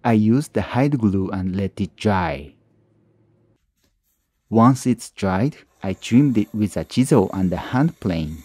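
A man narrating his own woodworking tutorial as a calm voice-over, with plain, slightly non-native phrasing. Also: fairly low pitch, dry recording. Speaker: woodworking channel host.

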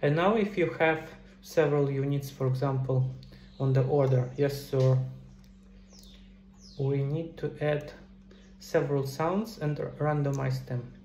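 Mellow-voiced man in a roomy space. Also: mid-range voice, steady tone, measured pace. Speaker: game developer giving a tutorial. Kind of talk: screen-recorded tutorial, casual explanation. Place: small indoor room at a computer. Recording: roomy echo, faint background noise.

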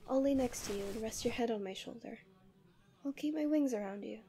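Guardian angel female performer, ASMR audio roleplay. Lovestruck and protective, gently possessive; soft, dark-toned, intimate delivery. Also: fairly high pitch. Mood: soothing, possessive. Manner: soft, soothing, often breathy half-whispers.

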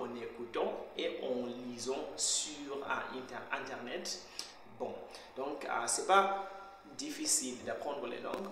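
A young man from Zimbabwe whose first language is Shona, talking casually to his laptop camera in a bare kitchen, pausing often to search for words. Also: medium pitch, fairly bright tone. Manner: hesitant, searching for words with frequent pauses. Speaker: language learner vlogger. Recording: very echoey bare room with faint hum.

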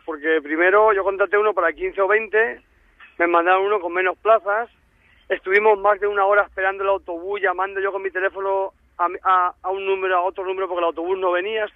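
A man's voice: sits high, flat delivery, brisk, no echo. A young man with an accent from Spain, talking casually over a thin telephone line. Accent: Spanish, from Spain. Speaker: prank call victim. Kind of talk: casual phone conversation.

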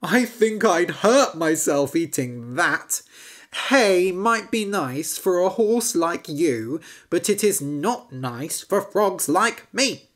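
A woman voicing a curious, picky little male frog who talks brightly. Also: fairly low pitch, animated, takes it slowly, voice pushed up.

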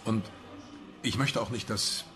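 A man's voice: fairly low pitch, steady tone, unhurried, natural voice. An actor playing a married man in a German radio crime drama, hesitant and defensive as he justifies himself. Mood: defensive, agitated. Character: married man evasively ending his affair, self-justifying. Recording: music behind the voice.

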